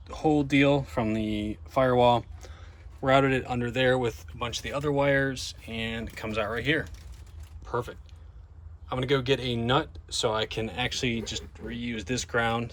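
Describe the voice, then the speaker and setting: A man's voice: mid-range voice, fairly bright tone. A man giving a casual, informal hands-on narration to viewers, thinking aloud as he works on a vehicle. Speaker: DIY car vlogger and host. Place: in and around a van.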